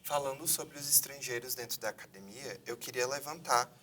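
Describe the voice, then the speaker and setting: A man's voice: medium pitch, a little fast, bright, forceful tone, recorded from a distance. A man hosting a university videocast from a theater, reading formal introductions. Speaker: host.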